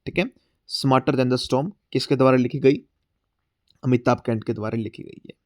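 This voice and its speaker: A male current affairs teacher with a sore throat, going through exam quiz questions. He mixes Hindi and English, often says "theek hai", and reads the English question text slowly. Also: medium pitch, steady tone, no echo, clean studio sound. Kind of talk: exam-prep class, explaining quiz questions.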